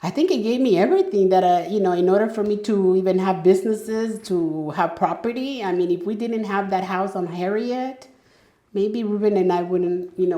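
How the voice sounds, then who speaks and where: A woman's voice: on the low side, warm tone. A middle-aged woman from El Salvador, talking casually as an interview guest with many fillers and restarts. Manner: conversational, frequent fillers and restarts. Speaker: guest entrepreneur. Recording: echoey room.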